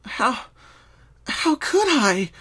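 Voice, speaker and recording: High-pitched young female pony, distraught, anguished, exclaiming, cartoon fan story, acted out by a man. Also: big pitch swings, drawn-out words, pushed and bright, husky, full character voice.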